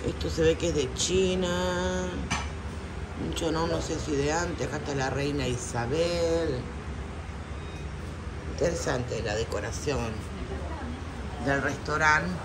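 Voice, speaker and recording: A middle-aged woman vlogs from a small, busy restaurant and chats easily to her viewers. She guesses aloud and laughs often. The phone is held at arm's length, and steady diner noise fills the background. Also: low-pitched, takes it slowly, long pauses. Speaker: vlogger. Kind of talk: casual food vlog chat.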